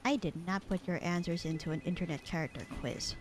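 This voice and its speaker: A woman playing a bored, sarcastic, slightly flirty secretary in a casual ASMR audio roleplay, with a dry, deadpan, teasing delivery. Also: lowish voice, fairly quick, background noise, lightly acted. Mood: dry, indifferent. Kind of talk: ASMR roleplay acting, casual one-sided conversation.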